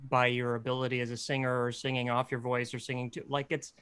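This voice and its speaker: A man with a high speaking voice, casually coaching others on singing in a group chat. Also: quick pace, clean tone. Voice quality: self-described high voice. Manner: animated, explains with sung examples. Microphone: close mic.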